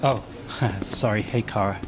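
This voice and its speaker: A man acting in an audio drama as a shaken, distracted neighbour who speaks haltingly. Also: lowish voice, noisy background, natural voice. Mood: dazed, flustered. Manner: halting, flustered.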